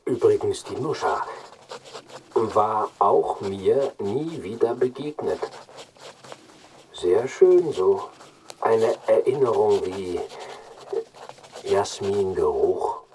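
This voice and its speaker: A pensive man musing over letters in a literary radio play. His voice is slightly low and very unhurried, with clear noise, clicks and writing sounds behind it. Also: expressive, darkish tone, rough recording. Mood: nostalgic.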